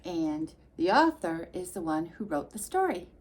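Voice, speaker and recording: A woman reading a storybook aloud to young children, expressive and sing-song, with chatty asides addressed straight to the listeners. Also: lowish voice, normal speed.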